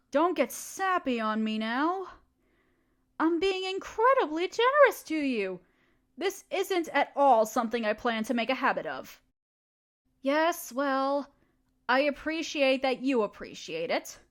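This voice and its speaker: A woman's voice: a little high, a bit bright. A woman voicing a proud, cold evil queen who rules an empire, speaking in a haughty, clipped way that turns reluctantly tender. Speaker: voice actor in an audio drama. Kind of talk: audio roleplay acting.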